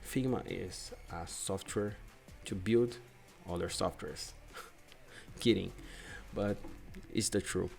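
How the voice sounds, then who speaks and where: A young man from Brazil with a Brazilian Portuguese accent, hosting a screen-share software tutorial in non-native English and often restarting his phrases. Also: deep voice, slow, gravelly, long pauses, soft background music. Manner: hesitant, repeats and restarts phrases. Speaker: UX/UI designer and channel host.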